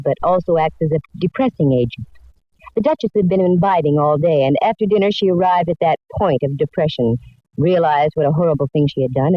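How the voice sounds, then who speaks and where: A woman's voice: low-pitched, no room sound. A woman playing a shrewd, wry, self-assured private detective in a 1940s radio drama, laying things out with wisecracks. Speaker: lead actress and narrator. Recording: dull vintage radio recording.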